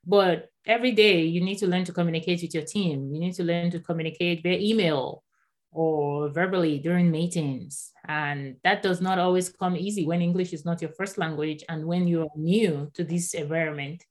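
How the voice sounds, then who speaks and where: A Nigerian-born woman in her thirties whose first language is Yoruba, speaking as an author and guest in a conversational interview, animated and quick to laugh. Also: on the low side. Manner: animated, laughs often, repeats words when starting a thought.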